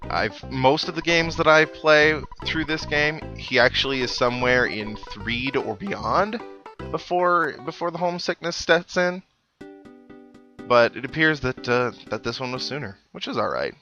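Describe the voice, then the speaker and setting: A man's voice: mid-range voice, lively intonation, a little slow, sharp tone, dull audio. A young man giving casual, rambling, self-deprecating gameplay commentary with video game music behind him. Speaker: video game let's player.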